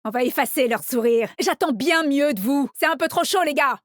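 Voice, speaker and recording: A woman's voice: sits high, expressive, dry recording, clean studio sound. An actress voicing a young, cocky and upbeat female agent in a tactical shooter game, with short, confident, teasing callouts. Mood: confident, urging. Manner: confident, teasing, brisk delivery. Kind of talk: video game voice lines, short punchy callouts.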